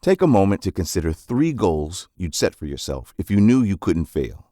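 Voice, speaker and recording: A man reading a scripted self-help audiobook summary in a measured, articulate, instructive way. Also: deep voice, sing-song, mellow, dry recording, clean studio sound.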